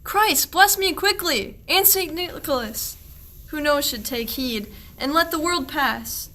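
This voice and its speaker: A woman's voice: high voice, animated, slow pace, pushed and bright, slight background noise, full character voice. A woman playing a young, put-upon shepherd servant boy in a radio play, declaiming verse earnestly. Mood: anxious wonder.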